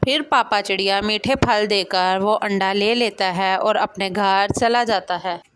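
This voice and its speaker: Female storyteller for a children's cartoon, medium pitch, scripted narration. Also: measured pace, fairly bright tone, clear, resonant tone.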